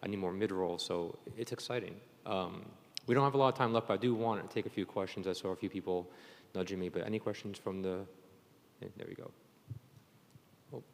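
A man's voice: low-pitched, brisk. A man moderating a panel discussion at a conference. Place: large indoor conference venue. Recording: echoey conference hall with long reverb.

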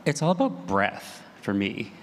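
A man speaking conversationally on a panel as an audio drama creator, explaining in an animated way. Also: lowish voice, measured pace. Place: large room at a live conference panel. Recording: reverberant hall, faint room noise.

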